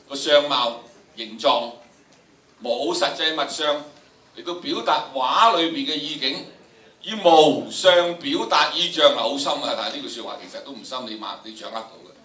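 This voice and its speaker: Older man, Hong Kong Cantonese accent, drama lecturer, theatrical and emphatic, forceful bright tone, roomy distant recording. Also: on the high side. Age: older, about 70s. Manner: theatrical, emphatic, repeats phrases for effect. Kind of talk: animated classroom lecture to students. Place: large indoor lecture room with audience. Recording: echoey lecture room, distant, noisy.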